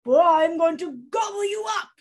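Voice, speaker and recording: A woman's voice: extremely high pitch, lively intonation, moderate pace, raised voice, voice pushed up. A woman voicing a mean, ugly, greedy troll in a children's story, roaring out threats with growled hums. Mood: menacing.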